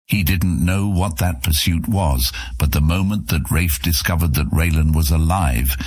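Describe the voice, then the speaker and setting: A man's voice: a bass voice, normal speed, a little mellow, breathy edge. A man narrating a fantasy audio serial as a dramatic, scripted story.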